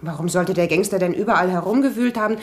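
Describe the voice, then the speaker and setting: A woman's voice: on the low side, fast talker, natural voice. An actress playing a young woman who kept house for a murdered man, chatty and flustered, in a 1970s radio crime drama. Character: young woman, late master's companion, flustered. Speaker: housekeeper and companion.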